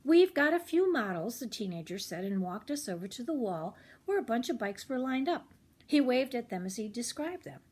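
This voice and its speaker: A woman's voice: fairly high pitch, expressive, moderate pace, mellow, breathy edge. An older woman reading a children's chapter book aloud expressively, slipping in chatty little asides to young listeners. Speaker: children's read-aloud host.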